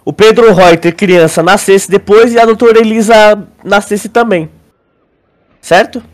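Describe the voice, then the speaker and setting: Man, high voice, Brazilian accent, casual podcast co-host, halting explanations, repeated words, frequent check-in questions. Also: fairly even intonation. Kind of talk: casual podcast discussion, theorizing.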